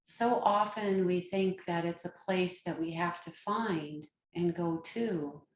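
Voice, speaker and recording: A woman with a slightly low voice guides a meditation in a very slow, unhurried way. She is calm and gentle, leaving long pauses between phrases. The sound is roomy, with a clear echo around her words. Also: a little hiss or hum. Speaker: meditation guide, yoga teacher.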